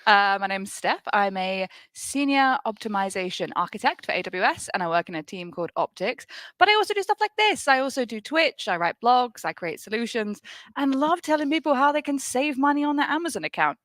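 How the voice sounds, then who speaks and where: A woman co-hosting a casual tech livestream, lively and quick to laugh. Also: fairly high pitch, dry recording. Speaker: co-host, optimization architect.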